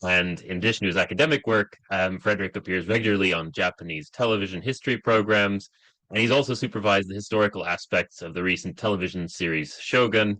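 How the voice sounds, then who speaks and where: A man hosting a webinar introduces the guest speaker in a very deep yet bright, forceful voice. His delivery is halting, with frequent ums and uhs, and the call audio is dry with no room echo. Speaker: webinar host.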